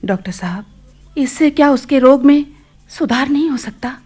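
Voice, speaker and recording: A woman's voice: high voice, animated, moderate pace, a little mellow, soft background music. An actress playing an anxious, grateful mother in a radio play, polite and earnest. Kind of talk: Hindi radio play acting.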